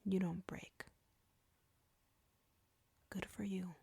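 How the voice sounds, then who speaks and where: A woman playing an arrogant, flirty, menacing vampire in an intimate audio roleplay, with a breathy whisper and a taunting, deliberate delivery. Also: on the low side, little pitch movement, warm tone, dry recording, full character voice.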